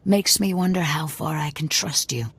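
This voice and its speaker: Hard-bitten female resistance lieutenant, very low pitch, sardonic, challenging game dialogue. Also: moderate pace, slightly rough, heavily acted. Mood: suspicious, challenging. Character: tough, distrustful female rebel enforcer with cybernetics. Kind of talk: video game dialogue acting.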